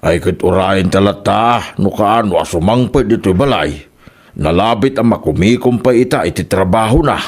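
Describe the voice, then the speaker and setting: A man's voice: lowish voice, animated, normal speed. A man acting an elderly Ilocano father. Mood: resigned, patient. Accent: Ilocano Filipino. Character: Roman, elderly father, plain-spoken and doting. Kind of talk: Ilocano comedy radio drama acting.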